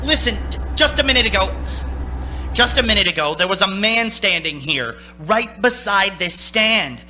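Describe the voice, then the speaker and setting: A man's voice: high voice, expressive, moderate pace, sharp tone, heavily acted. An actor playing a haunted, anxious young man in a radio play, dramatic and nervously stammering. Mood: desperate. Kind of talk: radio play acting, narrating and in scenes. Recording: stage radio play with music.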